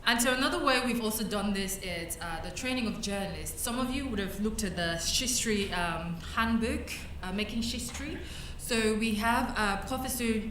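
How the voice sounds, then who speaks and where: A woman's voice: mid-range voice, fairly bright tone, a little hiss or hum. A young woman from Cameroon giving a conversational conference talk on her slides, with many fillers and restarts. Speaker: conference speaker. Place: conference hall. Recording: echoey hall, mic at a distance.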